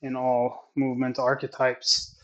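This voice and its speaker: A man whose first language is Swedish, talking in English through a training session and reflecting on it in a slow, halting way. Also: medium pitch, completely flat delivery, fairly bright tone, poor audio. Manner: slow, thoughtful, halting phrasing. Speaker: athlete training on camera. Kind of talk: reflective training vlog narration.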